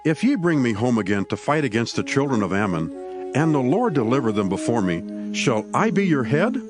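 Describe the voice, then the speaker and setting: A man's voice: mid-range voice, sing-song, brisk, music in the background, close to an everyday voice. A man playing an indignant, earnest ancient Israelite war leader, speaking in declamatory scriptural style for a dramatized Bible reading. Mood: indignant, challenging. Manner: declamatory, archaic scriptural oratory.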